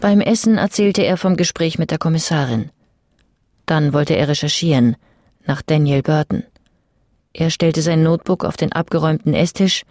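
A woman's voice: low-pitched, normal speed, a little mellow, dry recording, studio quality, close to an everyday voice. A woman as the composed narrator of a radio crime drama, reading literary prose in a sober, restrained manner. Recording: music bed under the voice.

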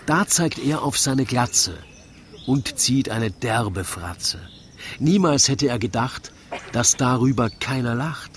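A man's voice: medium pitch, lively intonation, slow, slight background noise. A man narrating a comic rhymed story in a wry, theatrical storytelling manner. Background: music.

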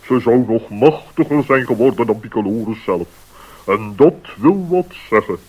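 An actor playing a solemn, self-important old owl in a radio play, with a husky voice. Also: male voice, mid-range voice, expressive, moderate pace. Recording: dull lo-fi radio play recording.

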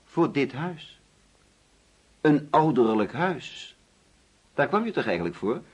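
Theatrical overbearing father, swooping husky voice. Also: medium pitch, sing-song, heavily acted. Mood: teasing, grandiose. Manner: theatrical, teasing, with deliberate pauses. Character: overbearing, jovial father hosting an uneasy birthday party. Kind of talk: Dutch radio play acting.

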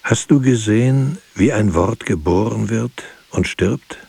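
An actor playing a solitary, grieving writer in a poetic radio drama, musing in solemn, measured, incantatory repetitions. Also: male voice, on the low side, gravelly, darkish tone, breathy edge, heavily acted. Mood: solemn, mournful.

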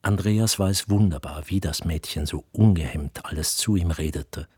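An actor playing the composed narrator of a literary radio play, reading in a measured way. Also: male voice, sits low, a little mellow, husky, dry recording, clean studio sound. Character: composed literary narrator of a young man's memories.

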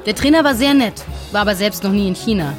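A woman's voice: medium pitch, fast talker, lightly acted. Outspoken European expat woman , played by an actress. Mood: explanatory. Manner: emphatic, blunt, conversational. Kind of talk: naturalistic conversational radio play. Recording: music behind the voice.

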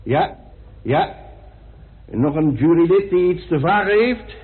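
A man's voice: on the high side, expressive, takes it slowly. An actor playing a brusque, formal male coroner in a Dutch radio play. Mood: formal, obliging. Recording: muffled, band-limited old radio recording.

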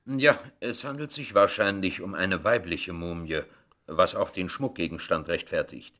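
A man's voice: sits low, moderate pace, fairly bright tone. A male actor playing a matter-of-fact, obliging professor in a radio play, speaking precisely and in an educated way. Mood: helpful, factual.

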